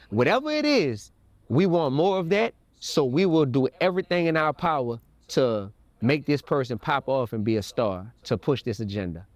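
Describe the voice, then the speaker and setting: A man's voice: medium pitch, dry recording. An American man talking in an interview, opinionated and emphatic, repeating words for stress. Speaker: rapper being interviewed. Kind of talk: interview, opinionated conversational talk.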